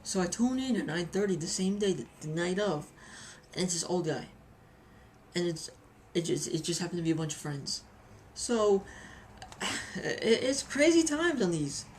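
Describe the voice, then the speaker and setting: Chatty woman vlogger, slightly low voice, light noise. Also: lively intonation, moderate pace, long pauses, a little mellow. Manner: chatty, repeats phrases for emphasis. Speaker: hobbyist video reviewer. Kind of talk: casual vlog review with anecdotes.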